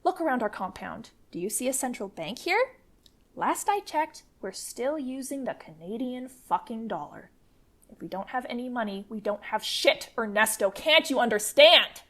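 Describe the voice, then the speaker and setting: A woman plays a fierce commune leader in a radio play. Her voice is high and her delivery forceful and defiant. She argues her cause hard and swears freely. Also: expressive, normal speed. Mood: exasperated, sarcastic.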